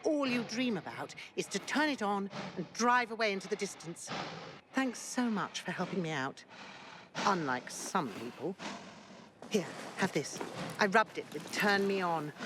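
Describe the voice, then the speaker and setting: A woman's voice: medium pitch, very animated, normal speed, close to an everyday voice. An actress playing a showy, teasing woman who makes scents, in a video game, with a playful and dramatic manner. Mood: grand salesmanship. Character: theatrical, flirty female perfume maker, proud and teasing. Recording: loud ambient noise behind.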